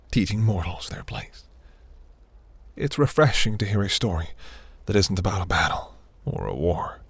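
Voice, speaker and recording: A man playing a seductive, imperious demon prince in a fantasy audio roleplay, speaking in a silky, commanding, breathy murmur. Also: no echo, heavily acted. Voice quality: low, gravelly, breathy.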